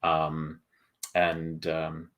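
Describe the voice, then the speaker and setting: A man hosting a casual chat as a game publisher, explaining his process haltingly and thinking aloud as he goes. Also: very deep voice, monotone, fairly bright tone. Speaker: board game publisher and show host.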